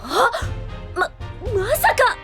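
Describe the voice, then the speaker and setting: A woman playing a bubbly, excitable young junior lawyer in an anime-style audio drama, girlish and expressive. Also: very high-pitched, very slow, vocal fry, faint music behind, exaggerated voice. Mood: surprised.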